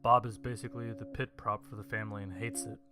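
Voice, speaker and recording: A man's voice: on the low side, little pitch movement, fast talker. A man reading a scripted story as its narrator. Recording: music behind the voice. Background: music.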